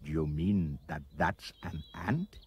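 A man voicing a hungry giant anteater in a storybook, with a soft, drawn-out, mumbling manner. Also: very deep voice, husky, voice pushed down, full character voice. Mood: incredulous. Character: starving giant anteater, plaintive, later slyly menacing.

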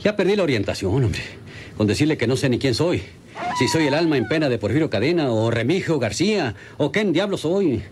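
A man's voice: medium pitch, big pitch swings, brisk, noisy background, natural voice. An actor playing a frightened, superstitious ranch man with a rural Mexican accent, stammering and repeating himself in a radio drama. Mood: rattled, laughing. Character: frightened, confused, superstitious ranch man, self-styled macho. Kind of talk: radionovela acting.

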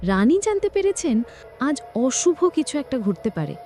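Woman as an earnest, lively fairy messenger. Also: high-pitched, moderate pace. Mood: urgent. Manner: earnest, formal. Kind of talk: animated fairy-tale acting. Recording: music under the voice.

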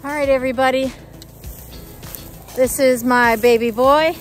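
High-voiced woman vlogging outdoors. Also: steady tone, unhurried, music in the background. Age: middle-aged. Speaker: vlog host. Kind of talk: casual chat to camera. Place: outdoors in a pine clearing. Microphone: handheld phone at arm's length.

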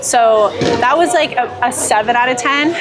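A woman's voice: on the high side, moderate pace, crowd noise. A young woman and pro bowler explaining her practice to camera, easygoing and step by step, on a lapel mic in a large indoor bowling alley. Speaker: professional bowler coaching. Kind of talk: casual instructional talk to camera. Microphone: clip-on lapel mic. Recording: loud bowling alley noise behind. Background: bowling alley noise, clicks.

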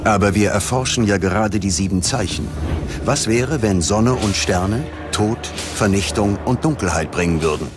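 A man's voice: lowish voice, moderate pace, music in the background. A man narrating a documentary from a script in a dramatic style.

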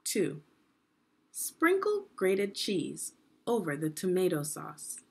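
A woman with a slightly low, gravelly voice leads a children's read-aloud. She phrases each part deliberately, like a teacher, and her pitch moves in lively swings. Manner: deliberate teacherly phrasing, asks the listener questions. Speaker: education consultant reading aloud.

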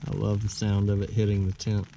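A man's voice: lowish voice, monotone, brisk, creaky, mellow. An American man around forty chatting casually to camera while lying inside a small tent. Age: 30s to 40s. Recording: rain and wind noise on tent.

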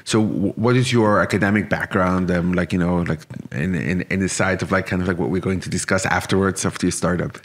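A man's voice: low voice, a little fast, hardly any pauses. A man in his thirties hosting an interview, asking rambling questions full of fillers in a small studio. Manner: rambling, many "like" and "you know" fillers. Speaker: interviewer, host. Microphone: boom-arm podcast mic.

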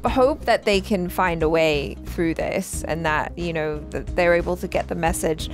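A woman's voice: lowish voice, normal speed, music in the background. A woman co-hosting a reaction show in casual, conversational chat.

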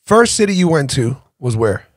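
A man in his thirties hosting a podcast, asking questions bluntly and swearing freely into a studio boom mic. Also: sing-song, moderate pace, no echo. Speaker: podcast host. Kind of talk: casual interview. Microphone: studio boom mic with headphones.

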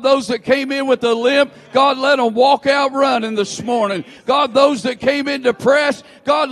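A man leading a fervent church prayer, opening each plea with "God" and rising to shouts, in a large church sanctuary. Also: very high-pitched. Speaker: prayer leader in a church service.

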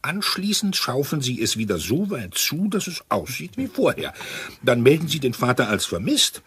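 An actor playing a military man turned amateur sleuth in a radio crime play, very husky, reasoning emphatically and in order. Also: mid-range voice, huge pitch swings, moderate pace, fairly bright tone, full character voice. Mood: keen, reasoning.

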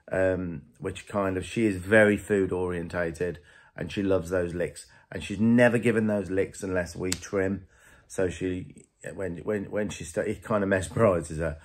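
Low-voiced man training a horse outdoors. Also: fairly even intonation, a little slow, dry recording. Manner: practical, explains step by step. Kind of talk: explaining while working. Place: outdoors in a paddock.

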